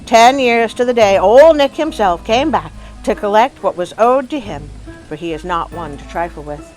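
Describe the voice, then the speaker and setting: A woman hosting a story show, telling tales in an expressive, folksy, animated way to her listeners. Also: mid-range voice, moderate pace, rough recording. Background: music.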